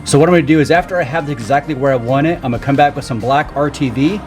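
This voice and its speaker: A man's voice: mid-range voice, rapid-fire. A man talking casually through a hands-on how-to in plain, informal steps, as the host of a vlog. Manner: informal, plain-spoken step-by-step explaining.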